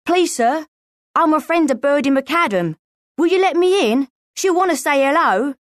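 Lady doing a scrappy little street kid, boy, high voice, eager n pleading, slangy, storybook style, dry sound. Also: lively intonation, normal speed, dry recording.

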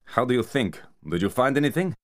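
A man voicing a big, irritable Russian mafia enforcer who grumbles gruffly. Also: mid-range voice, big pitch swings, brisk, voice pushed up, lightly acted. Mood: grumbling.

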